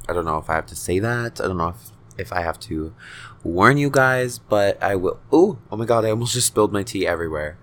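19 yr old dude rambling on his podcast, low voice, swears a ton, kinda self-deprecating. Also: lively intonation, a little fast, a bit bright. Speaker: podcast host. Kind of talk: casual solo podcast ramble.

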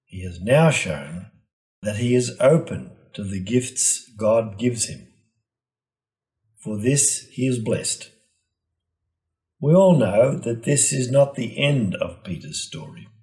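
A man delivering a scripted, reflective homily in a measured, solemn and deliberate manner. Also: medium pitch, expressive, a little mellow, low-quality recording. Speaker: preacher giving a Gospel reflection.

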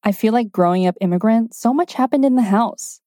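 A woman's voice: fairly high pitch, brisk, mellow, dry recording, clean studio sound. A woman born in China who hosts a casual podcast and opens the show in an upbeat, friendly way. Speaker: podcast host. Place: home, Toronto.

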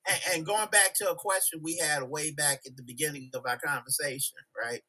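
A man's voice: on the high side, steady tone, fairly quick, sharp tone, husky, low-quality recording. An American man making emphatic points in a casual group discussion, often checking for agreement. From United States, Chicago. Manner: emphatic, seeks agreement with tag questions. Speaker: podcast discussion participant.

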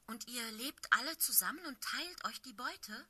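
A woman's voice: on the high side, animated, moderate pace, pushed and bright, breathy edge, no room sound, voice pushed up, full character voice. A woman voicing a curious, earnest young male house cat.

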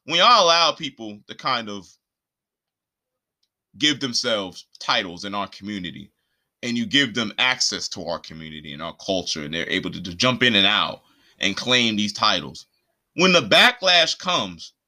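An American man in a casual, opinionated podcast rant, speaking in an animated, indignant way with plenty of profanity and slang. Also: on the low side, a little slow. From Puerto Rico and the eastern United States. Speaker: podcast host.

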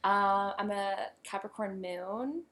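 A woman's voice: medium pitch, slow pace, fairly bright tone. A woman in her twenties co-hosting a casual podcast, chatty and informal, with a self-deprecating way of talking. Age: 20s. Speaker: podcast co-host, business owner. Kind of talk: casual podcast chat between friends.

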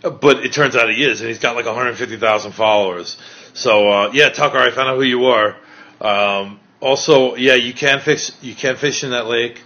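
A man's voice: medium pitch, normal speed, pushed and bright. Chatty New York man with a lo-fi sound. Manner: chatty, loose, rambling with fillers. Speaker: street video creator. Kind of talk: casual street chat and vlog recap. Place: outdoors in a city park. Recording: dull lo-fi street recording.

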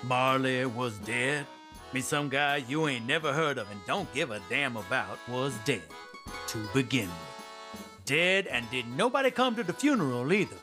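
A man's voice: medium pitch, animated, normal speed, music in the background, close to an everyday voice. A male actor playing a wry, irreverent storyteller in a radio drama, colloquial and folksy, addressing listeners directly. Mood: wry, conversational.

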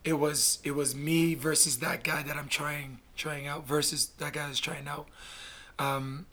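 A middle-aged man from Canada telling his story in a casual interview, blunt and animated, swearing freely. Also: on the high side, measured pace. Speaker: guest, basketball player.

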